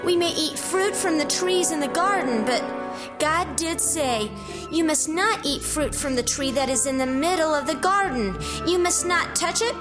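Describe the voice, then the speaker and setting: A sweet, innocent young-world first woman with a fairly high voice. She sounds curious, wide-eyed and questioning, in an audio Bible drama with music behind her. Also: expressive, normal speed. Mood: doubtful, innocent.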